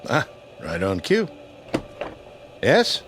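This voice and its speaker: An actor playing a steady airline captain in a radio drama, with wry, easygoing authority. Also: male voice, medium pitch, very slow, music in the background, exaggerated voice. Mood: calm authority. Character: steady, dryly joking male airline captain. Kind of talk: vintage-style radio drama acting.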